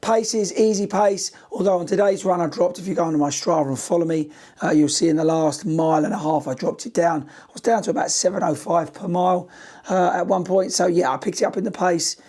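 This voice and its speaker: Croaky hoarse British running coach. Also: male voice, high voice, fairly quick, mellow. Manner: chatty, cheeky, direct to viewers. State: hoarse, nearly lost voice. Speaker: running coach reviewing shoes. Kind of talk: casual shoe review to camera.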